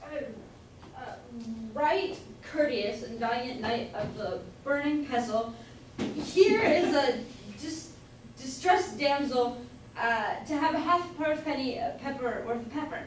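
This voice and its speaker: A young woman acting a young apprentice squire, haltingly reciting courtly lines in a staged reading of a Jacobean comedy. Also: high voice. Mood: hesitant. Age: young adult. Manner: halting, hesitant. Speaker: student actor. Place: indoor room, far from the mic. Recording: noisy, very echoey room, far off.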